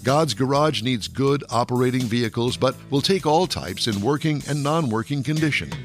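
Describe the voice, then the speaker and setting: A man's voice: medium pitch, lively intonation, moderate pace, soft background music. American man hosting a car radio show. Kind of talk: radio hosting and scripted sponsor reads.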